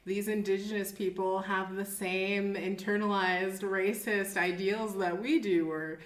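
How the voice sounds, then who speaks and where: Animated woman in a roomy space. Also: mid-range voice, a little slow. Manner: animated storytelling, laughs often. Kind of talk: casual conversation. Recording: roomy echo.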